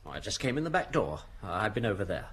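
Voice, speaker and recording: An actor playing an anxious, put-upon householder in an audio drama, flustered and protesting. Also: male voice, lowish voice, very animated, brisk, a bit bright, slightly rough, heavily acted. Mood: defensive.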